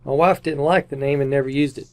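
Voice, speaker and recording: A man's voice: mid-range voice, steady tone, fast, darkish tone, lightly acted. A man playing an earnest, anxious husband under suspicion in an old-time radio mystery, speaking in a stiffly theatrical way. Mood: defensive, pleading. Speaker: amateur radio actor.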